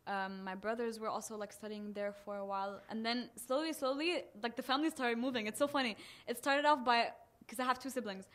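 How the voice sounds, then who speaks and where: Giggly teenage Belgian woman, fairly high voice. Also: brisk, hardly any pauses, fairly bright tone. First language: Arabic. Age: teens. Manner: chatty, giggly, lots of fillers. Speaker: guest singer. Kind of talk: casual interview chat.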